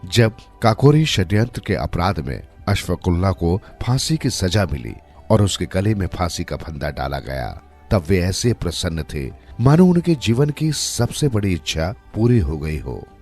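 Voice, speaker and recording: A man with a low-pitched voice tells moral stories from a script. His delivery is measured and expressive, with lively swings in intonation, and faint music plays underneath. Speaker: storyteller narrator. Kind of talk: scripted narration of moral tales.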